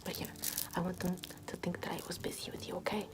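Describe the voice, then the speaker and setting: A woman playing a callous, morbid medieval nun in ASMR roleplay, murmuring in a soothing, repetitive deadpan. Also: on the low side, quick pace, natural voice. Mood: morbid, casual. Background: music.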